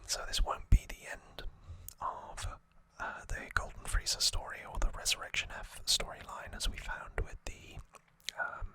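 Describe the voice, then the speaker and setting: An ASMR host speaking in a soft, breathy, unvoiced whisper, explaining things in a gentle, hesitant way as in a relaxed recap. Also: normal speed, few pauses.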